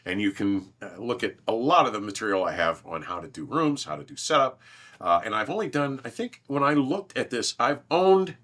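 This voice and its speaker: Guy hosting an audio review chat, bright punchy voice, pitch moves a lot, emphatic and opinionated. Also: animated, brisk. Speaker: host, audio equipment reviewer. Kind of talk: casual enthusiast conversation. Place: large, minimally treated listening room.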